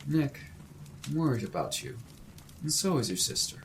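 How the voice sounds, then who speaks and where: A man acting a kindly, fretful college professor in an audio drama, expressive and fatherly. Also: medium pitch, normal speed, gravelly, a little hiss or hum, low-quality recording. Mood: worried, caring.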